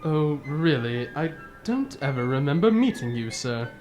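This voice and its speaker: Radio drama: woman as courteous, flustered older gentleman sidekick. Low, animated, gallant, music faintly behind. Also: a little slow. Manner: courteous, slightly flustered, old-fashioned.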